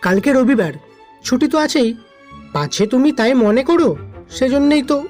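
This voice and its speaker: Guy doing a high voiced teasing young lad, coaxing, audio drama w/ soft music. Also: lively intonation, moderate pace. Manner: cheeky, coaxing. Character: Jyoti, teasing, deferential young man in his twenties.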